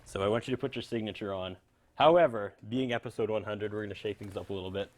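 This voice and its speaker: A man's voice: fairly low pitch, fast, a little mellow, slight background noise. A man hosting a music show, interviewing a guest in an informal, chatty way. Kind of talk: casual on-camera music interview.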